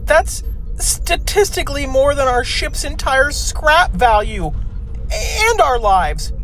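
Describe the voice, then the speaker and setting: Woman as a high-pitched anxious space number-cruncher. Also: big pitch swings, slow pace, fairly bright tone, soft background music, exaggerated voice, low-quality recording. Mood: fretful. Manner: alarmist, precise, fretful. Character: anxious, statistics-obsessed spaceship crew member, doom-predicting. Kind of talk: sci-fi comedy audio drama acting.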